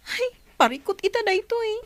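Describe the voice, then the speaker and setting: A woman's voice: very high voice, animated, fairly quick, no echo. An actress playing an anxious young daughter afraid of her parents' reaction in an Ilocano radio drama.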